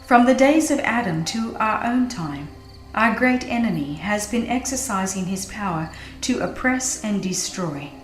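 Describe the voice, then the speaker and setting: A woman reads a scripted religious audiobook in a solemn, earnest and measured delivery. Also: medium pitch, lively intonation. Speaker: audiobook narrator. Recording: roomy echo with music behind. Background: music.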